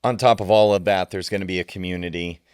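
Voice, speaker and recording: A man's voice: low voice, steady tone, quick pace, a little mellow, smooth, no echo, clean studio sound. A man co-hosting a podcast, talking sincerely and supportively, often repeating a word as he starts a thought. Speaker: podcast co-host. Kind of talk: casual podcast chat.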